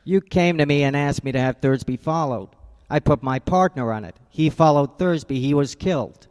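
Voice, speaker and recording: A man's voice: on the high side, moderate pace, a little mellow, smooth, lightly acted. An actor playing a cold, hard-boiled male private eye in a radio drama, blunt and clipped. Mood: accusing. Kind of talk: 1940s-style radio drama acting.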